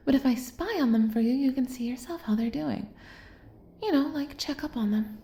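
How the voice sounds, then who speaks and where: A woman's voice: high voice, moderate pace, reverberant, slight background noise. A woman playing an obsessive, lovestruck kidnapper in an intimate audio roleplay, doting and possessive, coaxing the listener with hesitant asides. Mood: insistent, adoring. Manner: soft, coaxing, hesitant asides, drops into whispers.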